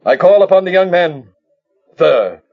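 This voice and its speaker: A man's voice: sits high, animated, a little slow, creaky, heavily acted. A man playing a grave alien elder, a scientist leading a doomed planet, declaiming theatrically in an old radio drama. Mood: commanding. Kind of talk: old-time radio sci-fi drama acting. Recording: dull lo-fi old radio recording.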